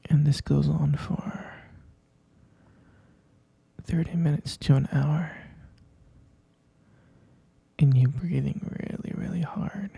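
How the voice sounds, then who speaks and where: A man playing a hesitant, concerned middle-aged stepfather in a scripted ASMR roleplay, speaking in a breathy, gravelly, halting hush. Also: a little high, fairly even intonation, no room sound, exaggerated voice. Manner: halting, hushed, drawn-out delivery.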